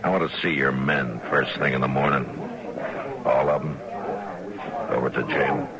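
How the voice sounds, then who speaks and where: An actor playing a weary, firm American frontier marshal with a gruff voice in an old-time radio western. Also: male voice, extremely low pitch, level tone, normal speed, crowd noise. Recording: noisy old radio recording.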